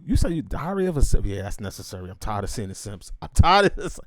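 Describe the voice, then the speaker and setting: Animated man hosting a casual chat. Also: lowish voice, fast talker. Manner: enthusiastic, slangy, eagerly agreeing. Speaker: show host.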